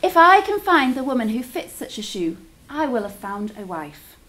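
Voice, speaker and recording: A woman's voice: high voice, sing-song, normal speed, fairly bright tone. A woman voicing a grand, determined male king in a children's storybook.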